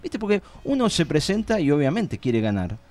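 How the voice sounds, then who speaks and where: An Argentine man hosting an informal live radio interview, talking in a halting, conversational way and repeating himself. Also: on the high side, sing-song, darkish tone. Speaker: radio host interviewing theatre guests.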